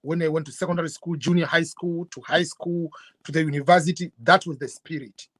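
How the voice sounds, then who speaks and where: A middle-aged man from Tanzania, a guest telling his story in an interview in an earnest, measured way. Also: fairly high pitch, fairly even intonation, no echo. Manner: earnest, measured, with fillers and restarts. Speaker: guest YouTuber.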